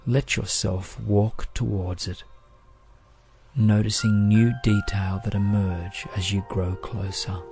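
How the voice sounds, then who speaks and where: A man guides a meditation in a low voice. His delivery is very slow, gentle and soothing, each phrase measured. Soft music plays faintly behind him. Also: fairly even intonation.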